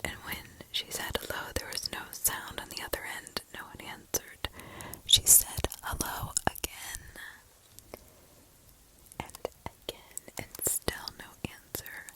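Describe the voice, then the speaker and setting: A woman reads scary stories in a hushed, breathy ASMR whisper with deliberate pauses, close to the microphone. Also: low-quality recording. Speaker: ASMR host. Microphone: close ASMR microphone, ear to ear.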